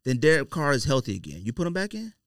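A man's voice: mid-range voice, fast talker, dry recording. A man hosting a podcast in a studio, chatting casually and pressing his questions persistently. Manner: animated, persistent, often cutting in to press questions. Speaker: podcast host. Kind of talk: casual sports and sneaker podcast banter.

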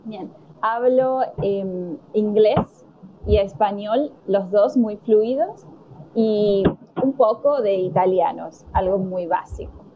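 An Argentine woman answering conversation questions slowly and deliberately, pausing with fillers. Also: mid-range voice, mellow, a little hiss or hum, poor audio. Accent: Argentine Spanish. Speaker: interviewee. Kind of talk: slow, careful conversation answers.

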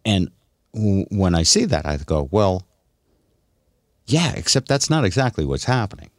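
A man's voice: deep voice, sing-song, normal speed, dry recording, clean studio sound. A middle-aged man hosting a radio show, talking casually and giving opinions in an explanatory, musing way.

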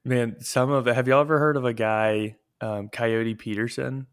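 A man with a booming voice co-hosting a podcast, telling stories with animated energy and frequent laughs in a casual chat, on his own microphone in a remote recording. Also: normal speed, smooth. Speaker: podcast co-host.

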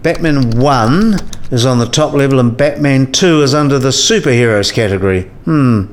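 A learned professor played by a man in a radio play, with a mid-pitched voice. He explains things step by step in a precise, didactic way. He often puts questions to his listener like a patient tutor. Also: moderate pace, lightly acted. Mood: instructive, probing.

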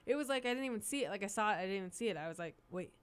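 Young woman co-host, chatty, giggly, lots of "like", mid-pitched voice. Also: lively intonation, rapid-fire, smooth. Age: young adult. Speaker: college radio co-host. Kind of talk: casual chat.

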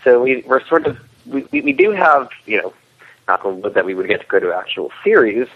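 A man's voice: medium pitch, big pitch swings, brisk, vocal fry, slightly rough. A man talking casually as an interview guest, a web series creator explaining his work. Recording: tinny phone-like line.

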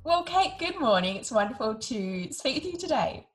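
A woman's voice: high voice, sing-song, moderate pace, slightly rough, soft background music. A woman hosting a podcast, reading a polished intro and then interviewing a guest in an upbeat way. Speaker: podcast host.

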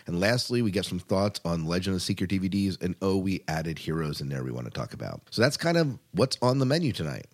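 A man with a low-pitched voice hosts a podcast. He talks in a casual, chatty style, like an informal show among friends. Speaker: podcast host.

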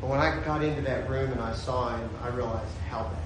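A man preaching a sermon, his voice swinging from shouted peaks to a hushed storytelling tone. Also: mid-range voice, steady tone, fast, roomy sound, noisy background. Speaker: pastor.

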